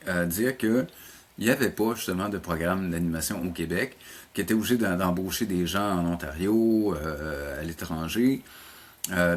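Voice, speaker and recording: A man's voice: sits low, slightly rough. A middle-aged man with a Quebec French accent, answering interview questions conversationally and often repeating words as he recounts. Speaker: college animation teacher, interview guest.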